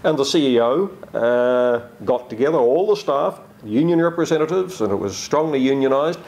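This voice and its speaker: Measured man explaining leadership ideas. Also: mid-range voice, expressive, vocal fry, darkish tone. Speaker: leadership consultant and researcher. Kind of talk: conversational discussion.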